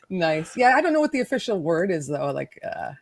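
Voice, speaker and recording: Chatty woman live stream host, bouncy lively voice, laughs a lot, dry mic. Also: on the low side, gravelly, dry recording. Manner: bubbly, laughs often, teasing. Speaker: livestream host. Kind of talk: casual live-stream chat.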